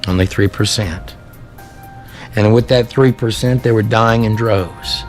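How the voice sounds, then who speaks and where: Male preacher-storyteller, lowish voice, theatrical emphatic delivery of sermon and prophecy, faint music underneath. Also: steady tone. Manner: theatrical, emphatic, drawn-out dramatic phrasing with rhetorical questions. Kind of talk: dramatic sermon with read-aloud prophecy. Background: background music.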